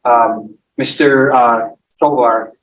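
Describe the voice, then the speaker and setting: A man acting as prosecutor, asking formal, halting questions in a courtroom. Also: medium pitch, fairly even intonation, fairly bright tone. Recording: distant, faintly noisy courtroom audio.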